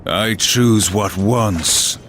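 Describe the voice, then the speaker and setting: Deep, solemn horseman of doom. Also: a bit bright, a little hiss or hum. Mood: grim, resolute. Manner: grave, measured, solemn. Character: stern, resolute supernatural horseman warrior of doom. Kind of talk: video game cutscene acting.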